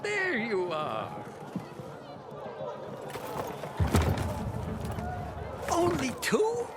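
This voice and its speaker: An actor playing an eccentric, excitable, scheming bomb-maker in a video game, theatrical and halting, repeating himself and drawing out words. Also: male voice, very high-pitched, heavy background noise, heavily acted. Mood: agitated, urgent. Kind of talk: video game cutscene acting.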